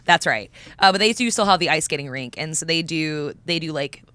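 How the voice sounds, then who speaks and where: A young woman guest on a podcast with a deep, slightly raspy voice, chatting casually, laughing often and swearing freely. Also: lively intonation, brisk, fairly bright tone, no room sound. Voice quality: deep, raspy at times from vaping. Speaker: podcast guest, content creator.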